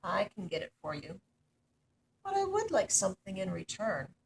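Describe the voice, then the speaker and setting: A woman's voice: mid-range voice, wildly swooping pitch, moderate pace, creaky, a little hiss or hum, rough recording. A woman voicing a big male storybook frog, pleading and insistent, calling out and bargaining. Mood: reassuring.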